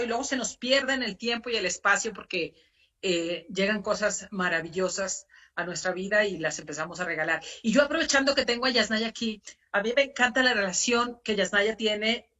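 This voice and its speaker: Bright-voiced, effusive woman moderator. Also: mid-range voice. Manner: effusive, affectionate, emphatic. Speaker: panel moderator. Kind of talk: conversational literary interviewing.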